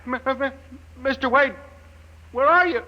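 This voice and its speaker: A man's voice: very high-pitched, a little slow, dull audio. An actor playing a panicked man in his forties, stammering and terrified, in a 1950s radio drama. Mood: pleading. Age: 40s. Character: panicked trapped middle-aged man. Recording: old archival radio broadcast.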